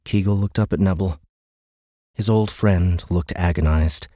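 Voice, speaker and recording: A man's voice: sits low, a little slow, warm tone, no room sound, clean studio sound. A man reading a science fiction audiobook in scripted dramatic narration.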